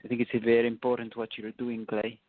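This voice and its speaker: A man calling in to a talk show, speaking in a halting, hesitant way. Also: mid-range voice, monotone, no room sound. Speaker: caller. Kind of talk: phone-in remarks. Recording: dry tinny phone line.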